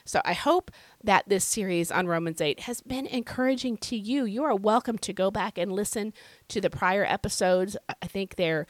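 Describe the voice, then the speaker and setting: A woman hosting a devotional podcast, her voice scratchy and hoarse from illness, teaching in an earnest, conversational way. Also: medium pitch, normal speed, no echo. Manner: earnest, conversational, with fillers and repeated phrases. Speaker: podcast host.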